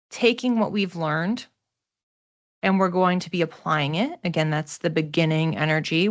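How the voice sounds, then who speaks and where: Female astrology presenter, slightly low register, explanatory delivery in short, measured phrases. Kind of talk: explanatory astrology forecast talk.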